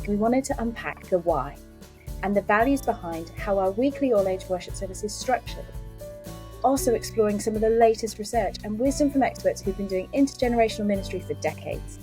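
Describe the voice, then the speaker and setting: A woman hosting a church podcast who reads a scripted intro and then chats with a guest, enthusiastic and quick to laugh. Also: medium pitch, dark tone. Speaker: podcast host.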